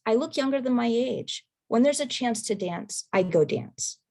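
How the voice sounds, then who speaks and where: Gravelly-voiced woman reading a research talk. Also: a little high, animated, dark tone. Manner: measured, careful reading pace. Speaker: academic presenter. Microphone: video call.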